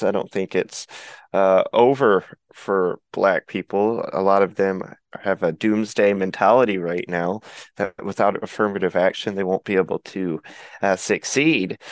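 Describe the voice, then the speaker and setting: A man's voice: on the low side, no room sound. A man co-hosting a political talk show, giving opinionated commentary with frequent "uh" fillers. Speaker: podcast co-host.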